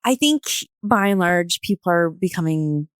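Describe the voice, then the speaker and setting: A woman with a somewhat low voice joins a casual discussion as a guest. She is thoughtful and tentative, pausing partway through sentences. The sound is close and dry. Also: animated, no room sound. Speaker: podcast guest, theatre artist.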